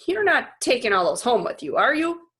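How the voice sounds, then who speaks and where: A woman's voice: fairly high pitch, very animated, normal speed, fairly bright tone, husky, voice pushed up, exaggerated voice. A woman voicing a blunt, dismissive male janitor in a storybook, with a skeptical, emphatic delivery.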